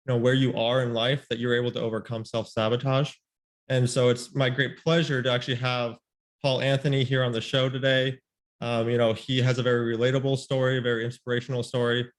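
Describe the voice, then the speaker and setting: A man hosts a podcast interview in a mid-range voice. His delivery is flat and even, with little rise or fall. He rambles, leaning on fillers as he goes. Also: medium pitch. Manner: rambling, many "you know" and "like" fillers. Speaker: podcast host. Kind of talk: casual interview conversation.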